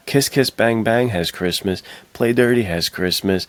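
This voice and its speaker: A man's voice: lowish voice, unhurried, fairly bright tone, dry recording. A young American man co-hosting a podcast, casually discussing films in slangy, opinionated talk. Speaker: podcast co-host.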